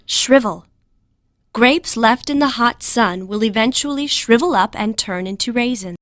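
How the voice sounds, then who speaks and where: A woman with a somewhat high voice narrates a vocabulary lesson. She reads words and their definitions in a scripted, educational way. The sound is dry, with little room in it. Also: unhurried, fairly bright tone, dry recording.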